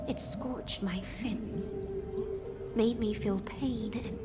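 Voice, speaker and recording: Solemn, slow-spoken sea knight. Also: female voice, fairly high pitch, fairly even intonation. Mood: solemn, brooding. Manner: formal, brooding, measured. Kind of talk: scripted video game voice acting. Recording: loud music over the voice.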